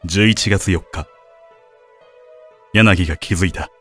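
A man acting as a grave researcher and father, narrating his private journal in an audio drama. His voice sits on the low side, solemn and measured, with music playing behind it. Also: fairly even intonation, fairly bright tone, husky, heavily acted. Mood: grave, uneasy. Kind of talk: dramatic narration of diary entries.

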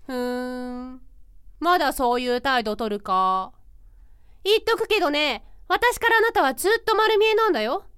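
A woman's voice: high voice, animated, normal speed, a bit bright, dry recording, clean studio sound. A woman playing a mischievous, carefree young female ghost, teasing and playful, in an amateur voice drama. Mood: teasing, smug. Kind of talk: Japanese amateur voice drama acting.

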